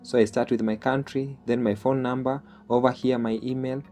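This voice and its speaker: A man's voice: mid-range voice, little pitch movement, normal speed, dark tone, faint music behind. A man from Kenya with a Kenyan accent, a native Swahili speaker, walking through a screen-share tutorial step by step and often checking in with "okay?". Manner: explaining step by step, ends phrases with "okay?". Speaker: online jobs tutorial host.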